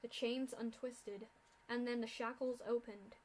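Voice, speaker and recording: A woman reads a dark fan fiction story aloud. Her voice sits high for a woman, and she narrates in a steady, earnest manner, letting the grim scenes land without rushing. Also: slight background noise, poor audio. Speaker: fanfiction reader. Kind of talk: dramatic story reading.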